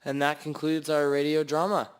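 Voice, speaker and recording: A man's voice: on the high side, completely flat delivery, normal speed, clear, resonant tone. A young man presenting on amateur radio, giving an informal announcement. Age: teenager or young adult.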